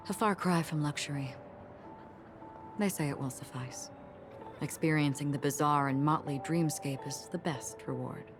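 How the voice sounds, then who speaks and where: An actress voicing a calm, melancholy wandering swordswoman in a video game, telling stories in a measured, reflective way. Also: low voice, lightly acted. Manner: measured, wistful, reflective storytelling. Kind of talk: scripted video game story dialogue. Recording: music behind the voice. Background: game background music.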